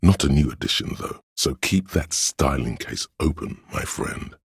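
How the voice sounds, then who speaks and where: A man voicing a snobbish inner voice of artistic taste in a video game, gravelly and husky, with a pompous, deliberate, theatrical delivery. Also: rumbling low, very animated, no echo, clean studio sound, full character voice. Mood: disdainful. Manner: pompous, deliberate, disdainful, florid. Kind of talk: scripted game narration, theatrical.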